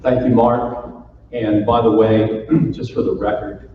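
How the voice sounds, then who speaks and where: A man's voice: on the low side, flat delivery, a little slow. A man from Florida, giving a humorous keynote speech and telling stories to a live audience in a folksy, joking manner. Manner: folksy, jokey, playful with the audience. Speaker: keynote speaker. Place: large conference hall. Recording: far-off roomy hall, muffled with faint noise.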